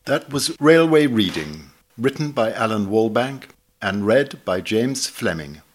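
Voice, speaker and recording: A man reading a literary essay aloud as scripted narration, in a measured, articulate, bookish manner. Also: mid-range voice, animated. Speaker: reader of a magazine essay.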